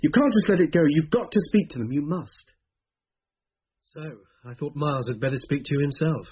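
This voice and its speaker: An actor with a British accent playing a concerned man in a radio drama, earnest and probing, with a husky voice. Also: a little high, brisk, natural voice. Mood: urgent, insistent. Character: concerned man gently pressing a family for the truth. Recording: muffled old radio drama recording.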